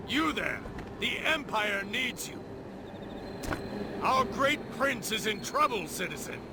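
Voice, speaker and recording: An actor playing a stern, suspicious imperial soldier in a video game, speaking in a formal, commanding, haughty way. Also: male voice, high-pitched, very animated, slow pace, background noise, exaggerated voice. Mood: urgent, commanding. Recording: loud music over the voice.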